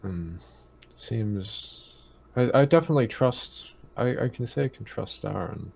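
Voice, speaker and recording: A man's voice: fairly low pitch, animated, measured pace, gravelly, darkish tone, a little hiss or hum. A man talking casually as a tabletop roleplaying player, hesitant and polite. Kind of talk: casual game-table chat.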